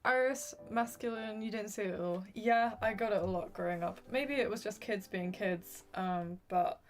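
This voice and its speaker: A soft-spoken woman whose voice is going hoarse, chatting apologetically with viewers as she draws on a livestream. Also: mid-range voice, moderate pace. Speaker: art streamer. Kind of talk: casual livestream chat while drawing.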